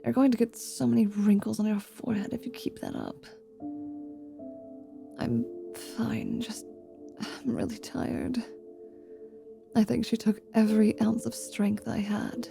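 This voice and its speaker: A woman's voice: mid-range voice, measured pace, creaky, pauses often, whispered, over music. A woman voicing a proud, spiteful half-vampire paladin with a teasing, haughty manner in a fantasy audio roleplay. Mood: weary, teasing. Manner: sardonic, haughty.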